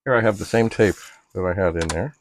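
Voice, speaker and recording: A middle-aged man narrating a casual hands-on demonstration, musing haltingly aloud to himself with droll, slightly formal turns of phrase. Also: sits low, no echo. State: frequent coughing and throat clearing. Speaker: retro computer hobbyist and video host.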